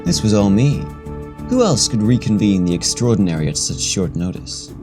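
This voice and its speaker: A man's voice: lowish voice, sing-song, moderate pace, over music. Grand, solemn superhero elder played by a man, reverberant. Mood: cryptic, grave. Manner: stately, formal, oratorical. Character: grand, solemn veteran telepathic superhero leader. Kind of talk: radio play acting. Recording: long hall reverb.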